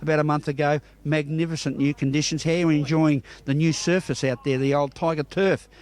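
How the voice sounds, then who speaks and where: A man's voice: a little high, steady tone, a little fast, darkish tone. A man with an Australian accent presenting local television news and sport, reading bulletins and putting questions to guests.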